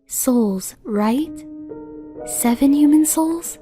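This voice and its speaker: Gentle, determined child voiced by a woman: high pitch, dark soft tone, earnest fan dub drama. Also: drawn-out words, faint music behind. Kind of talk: fan comic dub acting.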